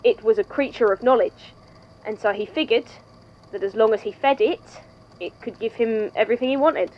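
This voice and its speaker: A woman playing a composed, wry institute head in a scripted audio drama, speaking in a measured, conversational way. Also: on the high side, dull audio, close to an everyday voice. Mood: measured storytelling. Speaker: podfic voice actor, institute head narrating a statement.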